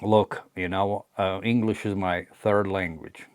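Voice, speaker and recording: A man's voice: low-pitched, level tone, a little slow, clean tone, no echo, studio quality. A middle-aged man from Latvia with a Latvian accent, talking frankly to his channel's viewers in a rambling way full of fillers. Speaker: channel host. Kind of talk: casual personal talk to camera.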